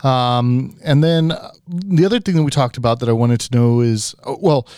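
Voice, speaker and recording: A man's voice: mid-range voice, moderate pace, darkish tone, clean tone, no echo. Casual male podcast host, dry sound. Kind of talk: casual chat.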